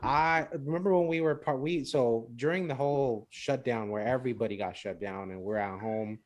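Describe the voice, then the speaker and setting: A man's voice: medium pitch, normal speed. A man co-hosting a podcast in casual chat, rambling and repeating words, with Spanish phrases mixed in. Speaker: podcast co-host.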